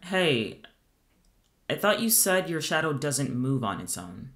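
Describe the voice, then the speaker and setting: A man's voice: a little high, sing-song, slow pace, a bit bright, heavily acted. A man playing a sarcastic, exasperated but protective young friend in a naturalistic audio drama. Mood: wary. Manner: sardonic, scolding. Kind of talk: naturalistic audio fiction podcast acting.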